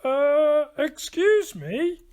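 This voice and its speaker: A man voicing a small, kindly storybook cricket who gives gentle, polite encouragement. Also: very high-pitched, very animated, extremely slow, pitched above the performer's own voice, full character voice. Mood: encouraging, gentle.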